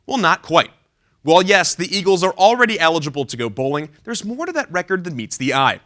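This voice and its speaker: A man's voice: a little high, very animated, brisk, sharp tone. A young man announcing a game broadcast and delivering on-camera sports reports with energy. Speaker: play-by-play broadcaster. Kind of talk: broadcast play-by-play and on-camera hits.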